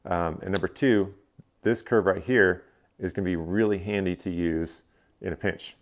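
Man, 30s, deep voice, slight fry, casual explainer, living room. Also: normal speed. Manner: relaxed, explanatory, frequent "uh" fillers. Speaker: fishing channel host. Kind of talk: casual gear review. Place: living room, indoors, seated on a couch.

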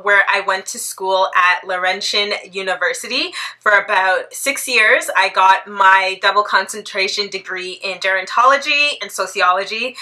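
A woman's voice: medium pitch, sharp tone. A woman in her thirties from Toronto with a Canadian accent, chatting playfully to camera in a casual Q&A and laughing often. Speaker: vlogger. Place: bright living room indoors.

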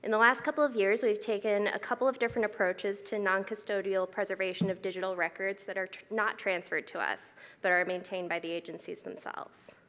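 A woman presenting archival work at a conference lectern in a steady, businesslike way. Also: mid-range voice, brisk, hardly any pauses. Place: large hall, at a lectern. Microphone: podium microphone. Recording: hall reverb.

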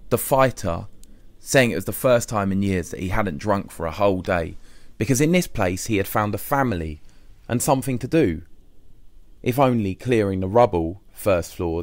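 A man narrating an audiobook diary in the first person, expressive and measured, with short pauses between phrases. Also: fairly low pitch, no room sound, studio quality. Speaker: audiobook reader.

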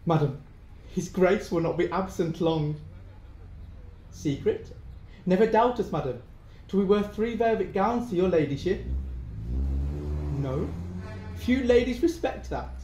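Guy playing gleeful vengeful brother in an old revenge play, slow n theatrical, echoey room. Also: high-pitched, expressive, warm tone. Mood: mock courtly. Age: young adult. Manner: theatrical, gloating verse delivery with gleeful laughter. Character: gleefully vengeful brother, mocking, brandishing a poisoned skull. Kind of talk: Jacobean audio drama acting. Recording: roomy, echoing home recording.

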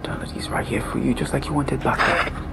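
A woman's voice: a bass voice, quick pace, gravelly, heavily acted. An actress playing a cruel, vengeful woman who mockingly taunts a captive aunt, her voice swinging between sing-song and whispers. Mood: mock pity. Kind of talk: film drama acting.

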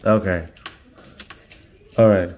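A hoarse man, his voice giving out from illness, talks at a table at home in a flat, monotone way. He stops and restarts often, filling gaps with "uh" and "you know", in a casual home unboxing chat. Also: low voice, highs cut off. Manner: halting, many fillers and restarts, monotone. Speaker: card-opening channel host.

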